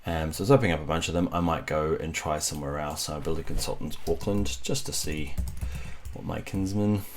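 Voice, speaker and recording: Kiwi man, very low deep voice, hushed and rambling, casual web tutorial host. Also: brisk. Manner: speaks softly, rambling with many fillers. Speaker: web developer. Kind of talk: casual screen-recorded tutorial. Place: home at night while family sleeps. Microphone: computer mic while screen recording.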